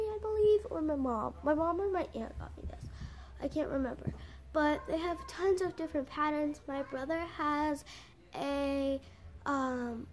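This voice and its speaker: A young girl talks to her camera from a small bedroom, rambling with plenty of "um"s and "like"s. Her voice sits high and she takes her time. A faint hiss runs underneath. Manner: chatty, rambling with fillers. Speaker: vlogger. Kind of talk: casual vlog.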